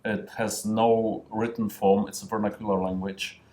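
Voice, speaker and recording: A man from Silesia whose first language is Silesian, a novelist speaking on a festival panel in careful English with many pauses and restarts. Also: fairly low pitch, little pitch movement, mellow. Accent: Silesian-accented Polish. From Silesia, Poland. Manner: hesitant, many fillers and restarts. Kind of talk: panel discussion. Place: festival stage.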